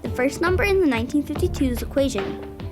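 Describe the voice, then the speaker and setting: A very high, childlike voice of a precocious little sister. She is haughty and dramatic, a bratty know-it-all in an audio adventure, with music playing behind her. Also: lively intonation, fast talker.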